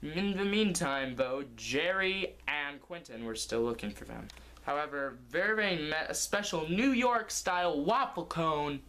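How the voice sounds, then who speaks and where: A man's voice: a little high, lively intonation, measured pace, pushed and bright. Young man telling an improvised story. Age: young adult. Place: living room, indoors.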